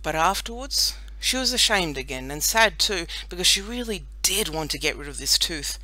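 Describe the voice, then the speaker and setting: A woman narrating a children's audiobook in an expressive, scripted storytelling style. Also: fairly low pitch, moderate pace, dry recording, rough recording.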